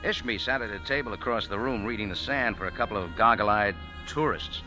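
An actor playing a wisecracking American cafe owner who narrates with a dry, hardboiled manner in a 1940s radio drama. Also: male voice, on the low side, a little fast, natural voice. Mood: narrating. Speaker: narrator and lead. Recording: old radio broadcast with music.

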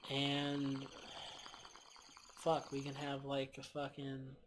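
A man's voice: mid-range voice, completely flat delivery, slow, a little hiss or hum, low-quality recording. A man who is a guest on a casual podcast, talking in a slangy, profane, drifting way.

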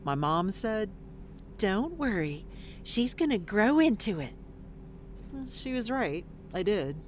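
A woman's voice: mid-range voice, very animated, slow, a little hiss or hum. An actress playing a blunt, weary middle-aged woman fresh out of prison in an audio drama, swearing in exasperation. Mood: bitter, reflective.

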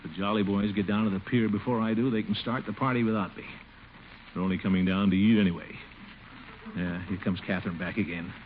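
An actor playing a pompous, flirtatious, middle-aged commissioner in a 1950s radio comedy, theatrical and given to chuckling. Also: male voice, on the low side, steady tone, fast talker, slight background noise, lightly acted. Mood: grumbling, scheming. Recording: muffled old radio recording with music.

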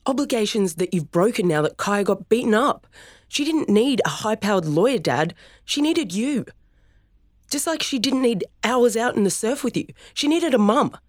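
A woman's voice: low-pitched, moderate pace, a little mellow, dry recording, clean studio sound. A woman voicing Storm, an angry young man accusing his father, in heated, accusing tones raised above her narrating voice.

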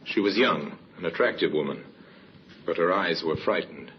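Very deep, grave radio crime narrator, played by a man. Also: lightly acted. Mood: grave, suspenseful. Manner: grave, measured storytelling. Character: solemn male narrator of a crime story. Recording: muffled old radio recording with hiss.

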